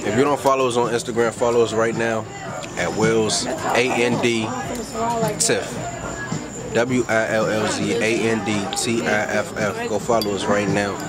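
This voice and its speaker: A man's voice: on the low side, level tone, a little slow, loud music behind the voice. A young man hosting a casual family vlog at a restaurant, easygoing and chatty to the camera. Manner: laid-back, plugs his social media to camera. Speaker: vlog host. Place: restaurant patio at night.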